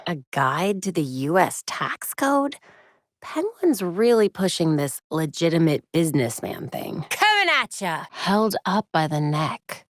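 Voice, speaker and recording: Wry young American vigilante heroine. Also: female voice, on the low side, big pitch swings, unhurried, no room sound, studio quality. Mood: combative, bold. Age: young adult. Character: young woman vigilante hero, brainy, determined, wryly witty. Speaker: video game voice actor. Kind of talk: video game character voice lines.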